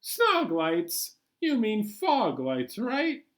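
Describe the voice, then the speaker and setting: A man reading a picture book aloud as a chatty, bemused New York City taxi driver, colloquial and animated. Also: high voice, drawn-out words, pitched above the performer's own voice, exaggerated voice. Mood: surprised, questioning. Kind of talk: first-person picture book read-aloud.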